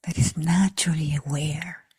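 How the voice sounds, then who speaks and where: A woman leading a guided meditation for a group in a hushed, breathy near whisper, unhurried, with long pauses between short phrases. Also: low-pitched, fairly even intonation, no room sound. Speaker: meditation teacher.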